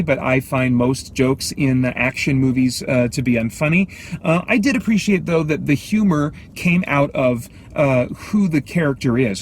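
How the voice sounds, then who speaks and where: Halting man reviewing films, faint background noise. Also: a little high. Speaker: film reviewer and host. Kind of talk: casual unscripted movie review. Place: inside a car. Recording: light background noise inside a car.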